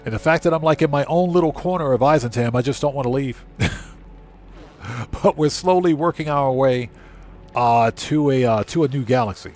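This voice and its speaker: A man livestreaming a game, chatting casually with viewers and thinking aloud in a halting, easygoing way. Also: mid-range voice, a little mellow. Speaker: livestream host and gamer.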